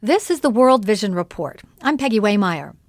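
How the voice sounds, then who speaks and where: A woman's voice: medium pitch, sing-song, moderate pace, no echo. Female radio news host and interviewer, scripted delivery, very dry close sound.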